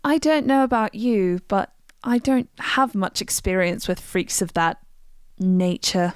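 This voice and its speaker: A wry woman adventurer from a fantasy game, played by a woman, recounts her troubles in a measured way. Her voice is smooth and clear, recorded dry with no room sound. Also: mid-range voice, no room sound, natural voice. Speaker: voice actor for a game mod. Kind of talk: scripted game character dialogue.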